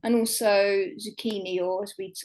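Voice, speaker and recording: A woman's voice: mid-range voice, steady tone, moderate pace, darkish tone, slight background noise. A woman, a travel writer chatting enthusiastically as an interview guest, with many fillers. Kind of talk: conversational podcast interview.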